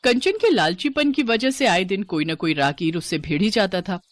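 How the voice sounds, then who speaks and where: Animated woman storyteller, widely varied pitch. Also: normal speed, no echo. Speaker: narrator. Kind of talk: scripted storytelling narration.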